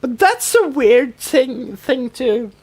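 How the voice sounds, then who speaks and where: High voiced woman doing a casual tv recap podcast, kinda loose and hesitant phrasing. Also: very animated, takes it slowly, a little mellow, husky. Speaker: podcast co-host.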